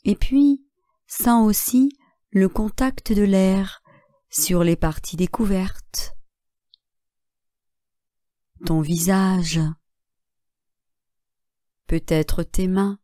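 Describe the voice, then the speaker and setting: A woman guiding a relaxation meditation as a yoga nidra instructor, calm and measured, speaking in short phrases with long pauses. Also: medium pitch, dry recording, studio quality.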